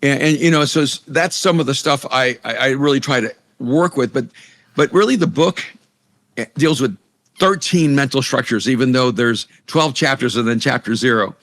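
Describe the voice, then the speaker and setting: A man's voice: medium pitch, moderate pace, a bit bright. A middle-aged man from El Salvador, a Spanish native speaker, talking earnestly as an interview guest and often restarting his sentences. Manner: earnest, many restarts and repeated words. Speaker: author and pastor guest. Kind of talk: conversational interview.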